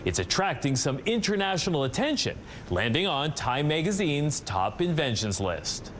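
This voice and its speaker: Man, Canadian accent, news anchor, scripted reading, slightly high pitch, lively inflection, faint background noise. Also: normal speed. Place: TV news studio.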